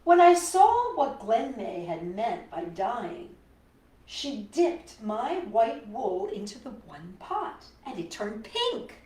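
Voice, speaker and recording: Animated woman reading to children, roomy sound. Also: a little high, slow pace. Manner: expressive, childlike wonder, rhetorical questions to listeners. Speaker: children's storytime host. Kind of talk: reading a picture book aloud to children with chatty asides. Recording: roomy echo, distant microphone.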